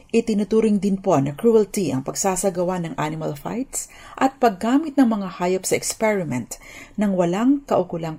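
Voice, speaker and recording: A Filipino woman gives a legal explainer for radio. Her voice is soft-edged and mellow, with a husky grain. She is formal, polite and instructive, like a lawyer addressing the public. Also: fairly low pitch.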